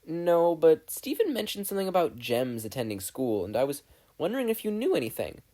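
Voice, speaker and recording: Narrator as an anxious, husky preteen boy. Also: medium pitch, big pitch swings, a little fast, mellow, pitched above the performer's own voice. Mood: nervous. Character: anxious, suspicious preteen boy, urgent and fretful.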